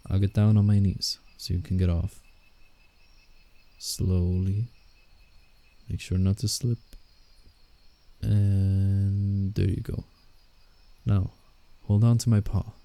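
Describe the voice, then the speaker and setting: A man voicing a gentle, protective werewolf in slow roleplay ASMR, hushed and soothing with a slightly condescending air and a low, gravelly voice. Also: full character voice. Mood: teasing, reassuring. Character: gentle, protective male werewolf guiding a fragile human. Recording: steady night insect ambience, background noise. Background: crickets and night forest ambience.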